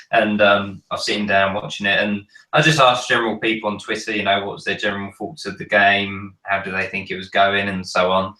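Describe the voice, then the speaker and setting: A man with a croaky, cold-hoarsened voice, chatting casually with many ums on a fan podcast. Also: fairly low pitch, bright, forceful tone, roomy sound, recorded from a distance, low-quality recording. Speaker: podcast panelist. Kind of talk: casual chat.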